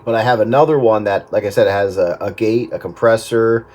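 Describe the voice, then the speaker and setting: A man chats casually over two-way radio as an amateur operator, explaining things in a halting way. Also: on the low side, fairly even intonation, darkish tone. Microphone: desk radio microphone.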